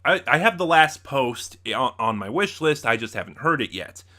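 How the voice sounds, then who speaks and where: A man's voice: medium pitch, very animated, normal speed, sharp tone. A man hosting a casual, unscripted review, talking in a rambling way with many asides and self-corrections. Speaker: host of a review channel.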